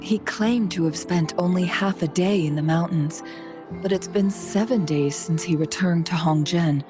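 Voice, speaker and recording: An actress playing a composed, perceptive noblewoman advisor in a video game, measured and poised, gently probing. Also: on the low side, over music, close to an everyday voice. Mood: calm, analytical.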